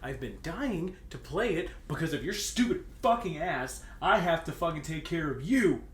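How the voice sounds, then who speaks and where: A man's voice: on the high side, animated, moderate pace. A man reading fan fiction aloud comedically, theatrical in delivery, with joking asides and a readiness to break into laughter. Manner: theatrical, breaks into laughter, bursts into mock shouting. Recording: roomy echo with clear background noise.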